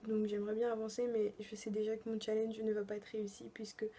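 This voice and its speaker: A woman's voice: mid-range voice, completely flat delivery, quick pace, roomy sound, slight background noise. Young woman half-whispering, hesitant. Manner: hushed, often half-whispered, hesitant with many fillers. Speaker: book vlogger. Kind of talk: casual reading-challenge vlog diary. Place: at home at night.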